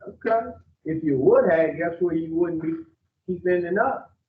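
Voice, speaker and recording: A man's voice: on the high side, animated, moderate pace, a little hiss or hum. A man, bluntly questioning new clients at an addiction treatment intake. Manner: blunt, confrontational, drawling. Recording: far-off phone-like audio in an echoey room.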